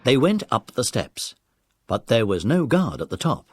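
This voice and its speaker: A man's voice: mid-range voice, sing-song, normal speed, husky, no room sound. A man narrating a scripted children's adventure story in a dramatic, listener-friendly storytelling manner. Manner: dramatic, addresses the young listeners.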